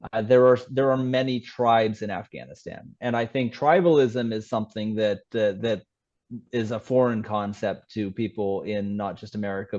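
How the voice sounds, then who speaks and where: Male guest, conversational storyteller with a halting delivery and slightly lower pitch range, on muffled lo-fi audio. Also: darkish tone. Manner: halting, repeats words while explaining. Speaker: interview guest, author. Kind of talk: conversational interview, telling anecdotes.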